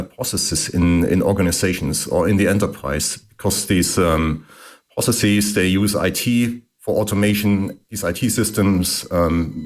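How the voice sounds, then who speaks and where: A middle-aged man with a German accent, answering interview questions in a thoughtful way with many pauses and fillers. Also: sits low. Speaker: guest, engineering executive.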